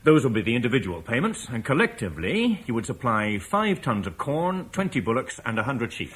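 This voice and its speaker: A man's voice: mid-range voice, big pitch swings, fairly quick, fairly bright tone, slightly rough. A man playing a shrewd British war leader in a radio drama, firm and businesslike. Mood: stern bargaining. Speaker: military commander.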